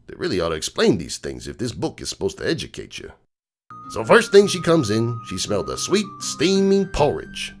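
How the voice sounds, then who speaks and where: A man playing a gruff, streetwise crook horse uncle who tells bedtime stories in a slangy, cynical, digressing way. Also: mid-range voice. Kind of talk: dramatic reading of a comic bedtime story. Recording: music bed behind the voice.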